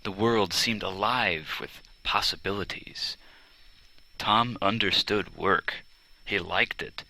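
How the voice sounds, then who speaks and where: A man reading a children's biography as scripted narration, with a deliberate storytelling delivery. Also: on the low side, gravelly, pushed and bright, poor audio. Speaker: audiobook narrator. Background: string quartet music.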